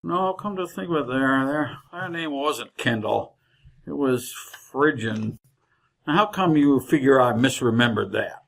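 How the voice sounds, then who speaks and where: An actor playing a chatty, folksy older wagon driver with a rural Western American drawl in an old-time radio Western. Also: male voice, mid-range voice, animated, a little slow, creaky, a bit bright, heavily acted. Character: garrulous leathery old frontier wagon driver, folksy and cheerful.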